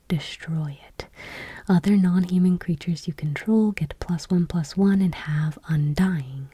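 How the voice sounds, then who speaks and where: A woman host speaking in a soft, breathy whisper, gently and without hurry, reading text aloud in ASMR style. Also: lowish voice, dry recording.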